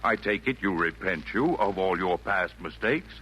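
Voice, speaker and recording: A faintly noisy 1940s radio drama recording. a kindly old man, jolly and forgiving, in a bright voice. His pitch moves animatedly as he lilts through the verse. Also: lively intonation, normal speed. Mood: kindly, reassuring. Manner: lilting, theatrical, genial.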